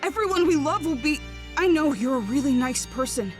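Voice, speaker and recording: A woman's voice: high-pitched, lively intonation, a little fast, soft background music. A woman playing an earnest, kind-hearted teenage girl in an anime game dub, urgent and pleading.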